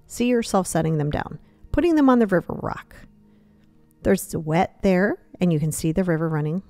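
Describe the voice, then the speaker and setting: A woman guides a listener through a meditation journey in a soothing, loosely conversational manner. Her low, mellow voice moves through a lively pitch, recorded close and dry with faint music behind. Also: no room sound.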